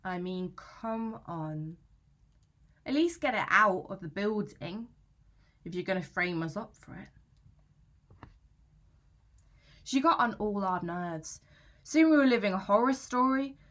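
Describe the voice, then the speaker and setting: A woman's voice: medium pitch, expressive, unhurried, a bit bright. A woman playing an anxious, aggrieved young British student in a conversational, confessional dramatic monologue, chatty, slangy and self-justifying. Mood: exasperated. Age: young adult. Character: anxious young female student, aggrieved and defensive about housemate.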